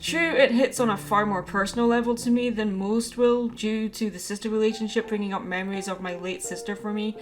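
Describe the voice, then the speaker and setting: A woman narrates a personal video essay as the channel's host. Her voice sits at an average pitch, and soft music plays underneath. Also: steady tone, brisk, few pauses. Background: background music.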